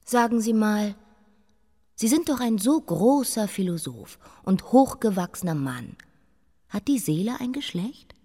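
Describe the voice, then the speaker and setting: A woman's voice: medium pitch, animated, unhurried, mellow. An actress playing an imperious, restless young queen in a radio play, speaking with commanding intensity. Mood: probing. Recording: long reverberant hall.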